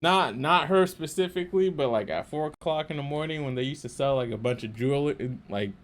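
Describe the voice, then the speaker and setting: A man's voice: on the high side, a little fast. A man chatting off the cuff as a reaction host, slangy and sweary, repeating words for emphasis. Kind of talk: casual off-the-cuff reaction chat.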